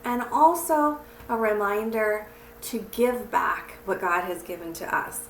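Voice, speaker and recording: A woman's voice: medium pitch, measured pace, faint music behind. A woman telling stories and talking to children in a simple, encouraging, teacherly way. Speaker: children's story reader and church teacher. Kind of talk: read-aloud storytelling and friendly talk to children. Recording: roomy, distant mic with faint noise.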